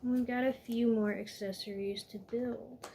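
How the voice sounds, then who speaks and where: A woman walking viewers step by step through a toy building set in a calm, instructive way, a little hoarse from losing her voice. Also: fairly high pitch, normal speed, a little hiss or hum, rough recording.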